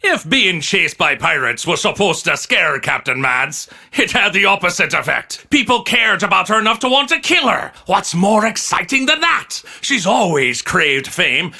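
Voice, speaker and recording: A man playing a dramatic, wry storyteller with a gravelly voice, narrating a scripted pirate adventure. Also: high voice, bright, forceful tone, full character voice.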